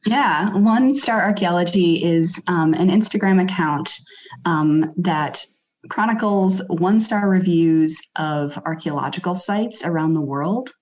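Young female guest, quite low pitch, casual explaining, hesitant phrasing, muffled recording. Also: a little slow, darkish tone. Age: young adult. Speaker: podcast guest, PhD student. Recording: dull lo-fi sound.

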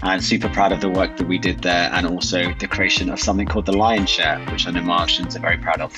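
A man with a London accent, a guest who heads marketing, introducing himself in a slow, wry way. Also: deep voice, fairly even intonation, over music.

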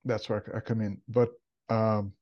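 A Brazilian man in his forties with a Brazilian Portuguese accent, a podcast guest telling his career story in a relaxed, thoughtful way. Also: fairly low pitch, normal speed, muffled recording. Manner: relaxed and thoughtful, frequent "kinda" and restarts. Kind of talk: casual interview, career story.

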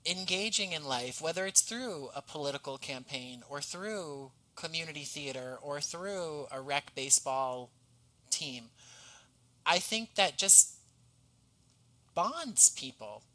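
A man speaking as a podcast guest in a thoughtful conversational interview, articulate and earnest, with measured phrasing. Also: on the high side, animated, pushed and bright, poor audio. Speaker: podcast guest, audio drama writer.

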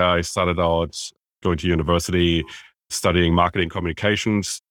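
A man with a very low voice and a German accent talks as a podcast guest. He explains at length and with open enthusiasm. The sound is dry and close. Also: fairly bright tone, no echo. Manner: enthusiastic, self-described business nerd, explains at length. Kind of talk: conversational interview.

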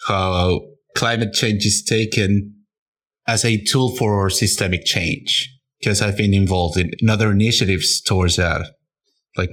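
Casting: low-pitched man from Peru, reflective. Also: a bit bright. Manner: thoughtful, uneven pace, speeds up and trails off. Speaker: podcast guest. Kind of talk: casual chat.

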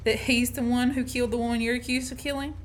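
A woman's voice: fairly high pitch, level tone, quick pace, lightly acted. A woman acting a feisty, skeptical young woman in a radio play, prim and challenging. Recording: noisy student radio play recording.

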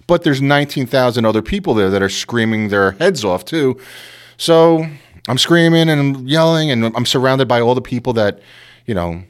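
A man from Queens, telling a casual, animated story as a guest and acting out the voices of the people in it. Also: medium pitch, normal speed, clear, resonant tone. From Queens, New York. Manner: animated, acts out voices, lots of "you know".

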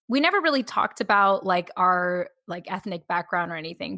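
A woman hosting a podcast, talking in a relaxed, rambling way with many "like" fillers. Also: fairly low pitch, no echo, clean studio sound. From born on a US military base. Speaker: podcast host. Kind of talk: casual conversational podcast.

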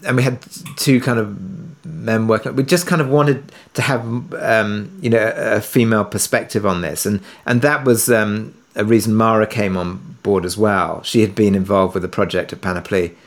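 A man speaking conversationally as an interviewed producer, halting and self-effacing, often rephrasing as he goes. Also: lowish voice. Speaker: audio drama writer and producer being interviewed.

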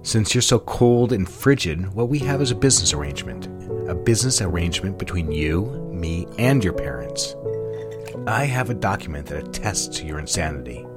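An actor playing a cruel, controlling, volatile husband in an audio drama, with a mocking, condescending manner. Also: male voice, low voice, expressive, normal speed, loud music behind the voice. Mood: cold, sneering.

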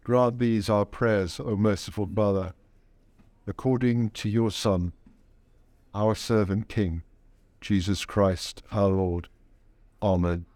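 A man prays aloud as a priest leading a service. His voice is smooth and resonant, taken at a slow, reverent pace with measured pauses. The sound is dry, with little room around it. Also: on the low side, fairly even intonation, darkish tone, dry recording. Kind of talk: intercessory prayer in a church service.

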